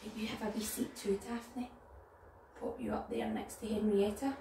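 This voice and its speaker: A middle-aged woman with a Northern Irish accent leading story time for young children in an animated way. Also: medium pitch, moderate pace, creaky. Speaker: nursery storyteller. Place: living room at home. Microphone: camera a few metres away. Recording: roomy, distant mic, background noise.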